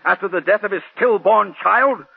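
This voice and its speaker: An actor playing a bitter, relentless district attorney in a 1940s radio drama, speaking in a formal, accusatory manner. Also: male voice, high-pitched, moderate pace, vocal fry, husky, full character voice. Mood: accusing, pressing. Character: relentless, bitter male district attorney cross-examining in court. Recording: muffled 1940s radio broadcast recording.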